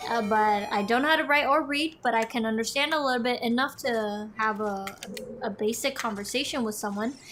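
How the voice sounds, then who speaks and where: A woman's voice: fairly high pitch, brisk, a bit bright, soft background music. A young woman co-hosting a gaming show, telling a long story in a casual, meandering way with many restarts. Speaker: co-host of a gaming channel. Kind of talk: casual chat over gameplay.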